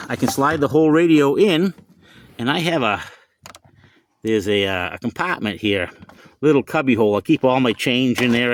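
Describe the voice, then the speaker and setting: A middle-aged or older man from the United States giving a casual, rambling vlog demonstration inside his pickup truck, often repeating himself. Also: mid-range voice. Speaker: hobbyist showing his CB radio.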